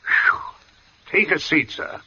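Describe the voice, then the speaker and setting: An actor playing a huge, sweating gravestone carver in a 1940s radio drama, folksy, sighing and faintly eerie. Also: male voice, slow, sharp tone. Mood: affable, weary. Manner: folksy, sighing, heat-weary. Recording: noisy, muffled vintage radio recording.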